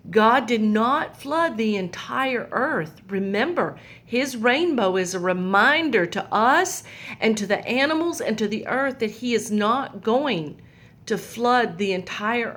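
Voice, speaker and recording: A woman telling a Bible story to young children, pointing out the pictures in a gentle, patient way. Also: on the high side, lively intonation, slow pace.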